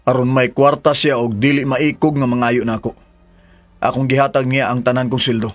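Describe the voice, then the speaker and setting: An actor playing a troubled, defensive lover in a Filipino radio drama, speaking earnestly and emotionally. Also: male voice, mid-range voice, flat delivery, normal speed, no room sound, natural voice. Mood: troubled, explaining. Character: troubled, defensive man torn between two loves.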